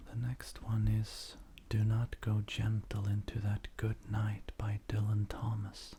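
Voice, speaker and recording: A man with a soft, breathy, whispering voice, reading poetry gently in an unhurried, measured ASMR style. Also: on the low side, monotone. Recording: faint background noise.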